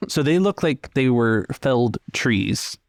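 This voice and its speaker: A man working as game master, narrating a tabletop roleplaying game in measured, halting descriptions with pauses. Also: mid-range voice, lively intonation, no room sound, studio quality.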